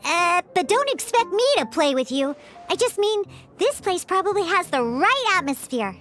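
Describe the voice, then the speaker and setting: A woman's voice: extremely high pitch, sing-song, normal speed, fairly bright tone, soft background music, exaggerated voice. A woman voicing a feisty, proud little cat-girl bartender in a video game, blunt but grudgingly encouraging. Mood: brash, prickly. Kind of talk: video game character dialogue.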